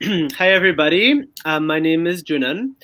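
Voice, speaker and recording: A man's voice: fairly high pitch, lively intonation, moderate pace, sharp tone, no room sound. A young man from Canada introducing himself on a video-call panel, hesitant with many fillers. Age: young adult. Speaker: panelist, university student.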